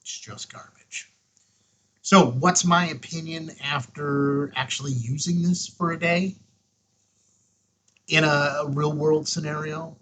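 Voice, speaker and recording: A man's voice: a little high, fairly even intonation, slow pace, pauses often, sharp tone, slight background noise. A man hosting a casual hands-on product review, talking in a folksy, emphatic way with short punchy phrases. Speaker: host of a ham radio review show.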